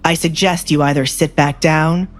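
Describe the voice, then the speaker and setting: A woman's voice: deep voice, fairly even intonation, normal speed, faint music behind, lightly acted. A woman voicing a cold, commanding male duke in a romance audio drama, stern and controlled. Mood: cold, threatening.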